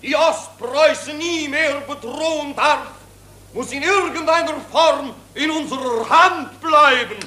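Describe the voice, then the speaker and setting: A man's voice: very high voice, slow, sharp tone, full character voice. A man acting a solemn expansionist nationalist orator in a chanting declamation from a 1940s radio play. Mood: solemn, strident. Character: solemn expansionist German nationalist orator proclaiming war aims. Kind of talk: 1940s radio drama political speech. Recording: echoey, noisy 1940s radio recording.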